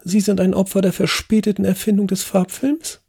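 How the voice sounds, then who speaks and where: A man voicing Lady Agatha, a haughty elderly English lady who is a ghost, in a high, prim voice. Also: flat delivery, brisk, warm tone, slightly rough. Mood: sympathetic.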